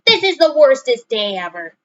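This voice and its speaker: A woman's voice: high-pitched, very animated, normal speed, highs cut off, pitched above the performer's own voice. A woman voicing an upset, sulky young boy character from a children's storybook, with a whiny, emphatic delivery. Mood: angry.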